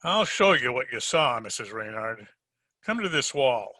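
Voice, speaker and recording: A man's voice: mid-range voice, very animated, moderate pace, bright, forceful tone, no echo. A man playing a dry, wisecracking private detective in an old-time radio drama, narrating his case in hardboiled style. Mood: matter-of-fact. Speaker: amateur radio drama player.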